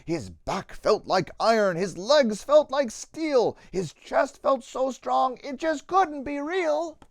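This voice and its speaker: A man with a high voice reads aloud for children as a pastor would at storytime. His delivery is theatrical and rhythmic, with very lively intonation. The sound is dry and free of room echo. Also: slow. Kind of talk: expressive children's storybook reading.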